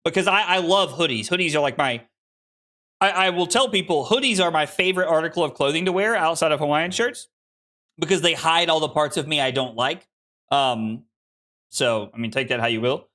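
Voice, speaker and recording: Bright-voiced streamer in his late twenties. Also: a little high, fairly quick. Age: 20s. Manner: rambling and self-deprecating, frequent restarts. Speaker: card game streamer. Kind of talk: casual live-stream chat with viewers.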